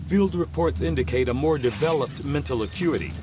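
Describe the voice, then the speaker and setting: A man playing a measured, erudite scientist who briefs formally and precisely in a video game, with music behind. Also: a little high, animated, natural voice. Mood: earnest, measured.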